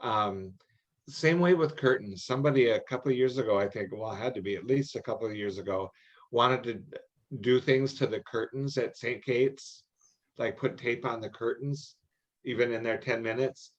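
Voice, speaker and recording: An older man answering questions in an informal meeting, explaining rules in a halting, anecdotal way. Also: mid-range voice. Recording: dull, lo-fi online call audio.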